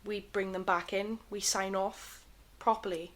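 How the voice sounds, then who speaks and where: A woman playing a composed, wistful 1930s television broadcaster in an audio drama, with a gentle, reflective manner. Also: mid-range voice, fairly even intonation, unhurried, lightly acted. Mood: resolute. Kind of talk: fan-made audio drama acting.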